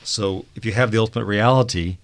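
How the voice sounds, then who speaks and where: A man's voice: lowish voice, a little fast. A man on a Bible study panel who explains the passage, often repeating words and ending points with "right?". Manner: repeats words while thinking, adds tag questions.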